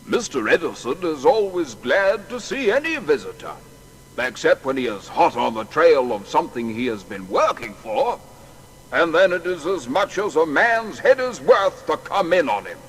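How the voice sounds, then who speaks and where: An actor playing an emphatic, admiring associate of a great inventor in a dramatised audio biography. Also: male voice, fairly high pitch, sing-song, normal speed, fairly bright tone. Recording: long reverberant hall, faint noise.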